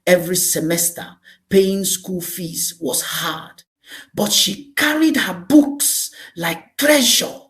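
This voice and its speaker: A woman narrating a scripted dramatic folktale as a storyteller, speaking deliberately in short, emphatic phrases. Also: mid-range voice, very animated.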